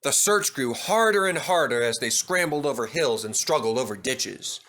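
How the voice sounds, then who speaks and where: A man's voice: mid-range voice, animated, moderate pace, bright, forceful tone. A man narrating a scripted children's story as a storybook reader.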